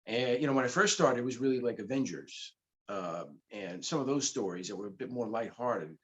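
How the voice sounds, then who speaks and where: A man's voice: medium pitch, expressive, fairly quick, fairly bright tone. A middle-aged man from the Bronx whose first language is Spanish, telling stories in a casual interview with laughs as he goes. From the Bronx, New York. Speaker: comic book publisher, guest.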